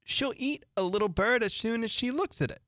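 A woman's voice: mid-range voice, big pitch swings, unhurried, a bit bright, dry recording, studio quality, pitched above the performer's own voice. A woman voicing an earnest, chatty, polite little girl in a storybook, with an eager, pleading manner.

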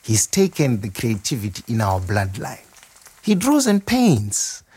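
An actor playing a warm, proud, playful nurse turned chef in an expressive audio monologue. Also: male voice, medium pitch, unhurried, husky, full character voice. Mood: proud, tender. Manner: expressive, reflective, wryly humorous. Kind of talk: dramatic first-person monologue.

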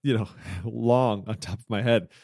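A man's voice: on the low side, normal speed, darkish tone, no echo, studio quality. An American man in his thirties co-hosting a podcast in loose, rambling chat full of fillers, talking into wireless earbuds. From United States. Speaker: podcast co-host.

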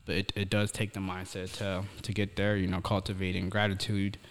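A man's voice: low-pitched, completely flat delivery, a little fast. A young man from Somalia chatting casually as a podcast co-host, earnest and philosophical, often repeating words as he searches for the next one. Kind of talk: casual reflective conversation. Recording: echoey hall-like reverb.

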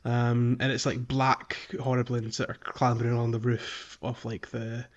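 A man's voice: mid-range voice, little pitch movement, moderate pace, dull audio. A man co-hosting a casual gaming podcast, talking in a thoughtful, rambling way with some hedging. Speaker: podcast co-host.

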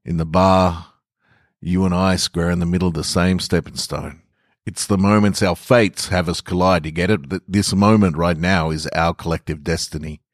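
A man's voice: sits low, steady tone, moderate pace, no echo, studio quality. A man voicing a blunt, folksy, philosophizing bar regular in a short story reading. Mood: philosophical. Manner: folksy, emphatic, swearing barfly monologue.

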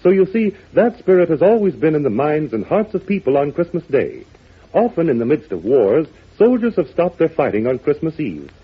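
A man's voice: a little high, very animated, normal speed, breathy edge. A man announcing on old-time radio, earnestly and chummily addressing young listeners. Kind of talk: 1940s children's radio address. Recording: thin phone-like old radio recording.

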